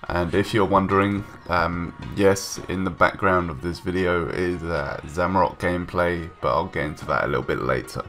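A man's voice: low-pitched, fairly even intonation, moderate pace, hardly any pauses, a bit bright, soft background music. A young man with a stuffy nose from a cold, giving casual, rambling voice-over commentary with many ums and restarts. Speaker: gaming YouTuber. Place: home room, recorded separately from gameplay.

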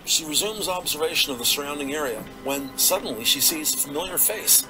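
A man narrating an audio drama in a measured, descriptive storytelling manner. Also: a little high, over music, low-quality recording.

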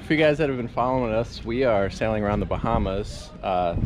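A man's voice: lowish voice, lively intonation, fairly quick. A man in his forties chatting casually to an action camera held at arm's length, giving an upbeat walkthrough with plenty of fillers. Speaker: sailing vlogger. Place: outdoors by harbor and on small sailboat. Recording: wind noise on camera mic.

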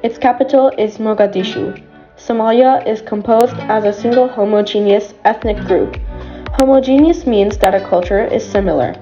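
A woman's voice: mid-range voice, lo-fi sound. A woman student reading a school report carefully and evenly. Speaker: student presenter.

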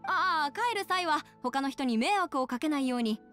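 A woman's voice: high-pitched, lively intonation, moderate pace, fairly bright tone, soft background music. An actress voicing a businesslike young female officiator in an anime game, making formal, orderly announcements. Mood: official. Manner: declarative, orderly. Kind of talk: Japanese anime game voice acting.

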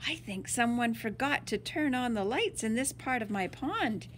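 Woman reading as excited young boy explorer, lively pitch. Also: moderate pace, slight background noise, close to an everyday voice. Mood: curious. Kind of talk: children's storybook read-aloud.

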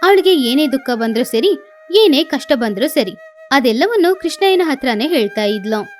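A woman's voice: high-pitched, animated, normal speed, soft background music. A woman narrating a scripted children's moral story as the storyteller.

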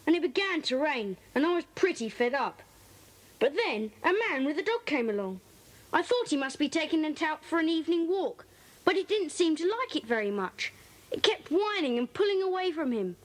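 A woman with a British accent playing an earnest, eager schoolboy detective in a children's audio drama, telling his story in careful detail. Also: sits high.

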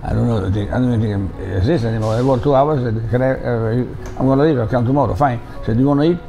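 Older Italian-accented man, gravelly and dark. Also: mid-range voice, quick pace, faint music behind. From Italy. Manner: animated storyteller, repeats words, drops into Italian. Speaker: restaurant owner and chef. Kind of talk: casual interview. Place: bright room by a window.

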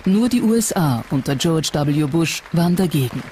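A documentary narrator reading a scripted voice-over in a measured, factual way. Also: mid-range voice, expressive.

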